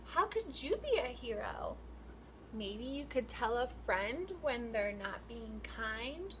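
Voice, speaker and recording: A woman with a high, swooping voice reads aloud to young children at an unhurried pace. She speaks like a teacher, instructing and prompting her listeners. There is faint noise behind her voice. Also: fairly bright tone, low-quality recording. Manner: instructional, asks questions and prompts children to repeat. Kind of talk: picture book read-aloud for young children.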